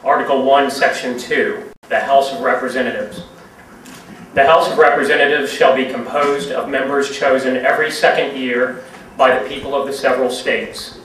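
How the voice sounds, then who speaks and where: A man with an average-pitched voice gives a formal reading. The room echoes heavily around him. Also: fairly even intonation, moderate pace, fairly bright tone. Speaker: guest reader. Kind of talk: formal reading aloud of a legal document. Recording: very echoey, distant, faint noise.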